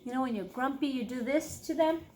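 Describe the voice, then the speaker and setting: A woman's voice: sits high, fairly quick, a little mellow. A woman storyteller reading a children's picture book expressively, with questioning asides to her young listeners. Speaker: read-aloud storyteller, teacher.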